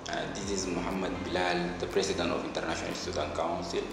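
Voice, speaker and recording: A young man giving a formal introductory speech as a student council president, halting and pausing between short phrases. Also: low-pitched, flat delivery, creaky, noisy background. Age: young adult. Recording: echoey hall, music behind.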